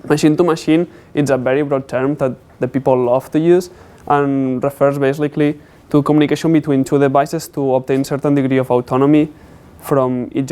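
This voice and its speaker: Young man giving a halting technical presentation. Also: medium pitch, mellow, clear, resonant tone. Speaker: student presenter.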